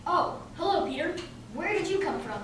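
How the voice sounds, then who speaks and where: A woman's voice: extremely high pitch, lively intonation, moderate pace, a bit bright. A woman playing a polite, methodical male detective in an amateur radio play. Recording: very echoey room, distant mic, faint hiss.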